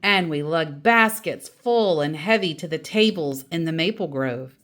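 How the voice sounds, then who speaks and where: A woman reads a children's book aloud with a very expressive, swooping delivery. Her voice sits a little low, and she takes her time, shaping each line like a storyteller. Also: unhurried. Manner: acts out quoted dialogue expressively. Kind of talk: animated read-aloud storytelling.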